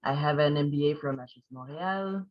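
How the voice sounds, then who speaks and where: A woman in her thirties with a French Canadian accent, chatting casually as a guest with a relaxed manner. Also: low voice, brisk, lo-fi sound. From Quebec, Canada. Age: 30s. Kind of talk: casual chat.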